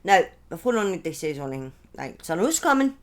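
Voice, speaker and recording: A woman narrates true crime as if chatting to followers. Her intonation is very lively, moving widely up and down. She breaks her phrases up and steps out of the script for informal, opinionated asides. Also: lowish voice, fast talker. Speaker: true-crime storyteller, streamer. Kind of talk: conversational true-crime storytelling, part scripted.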